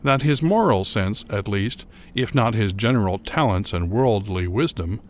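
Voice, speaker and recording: Deep, expressive actor as a bitter gothic gentleman narrator. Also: a little hiss or hum, close to an everyday voice. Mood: brooding, aggrieved. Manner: formal, deliberate, theatrical. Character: troubled, bitter gentleman recounting his schooldays in a gothic tale. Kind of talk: dramatic first-person reading of gothic horror fiction.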